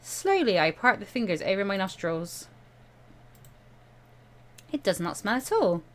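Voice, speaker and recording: A woman hosting a let's play, reading a visual novel aloud in an expressive, playful, dramatic way. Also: medium pitch, measured pace.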